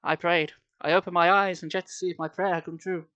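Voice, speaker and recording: A man's voice: high-pitched, little pitch movement, fast, pushed and bright, smooth. A man reading a story aloud on a live stream, theatrical and playful, with casual asides.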